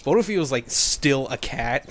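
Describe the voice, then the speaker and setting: A man's voice: mid-range voice, lively intonation, moderate pace, a little hiss or hum. A man chatting casually as a co-host, riffing on a story, repeating himself and often laughing. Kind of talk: casual joking chat.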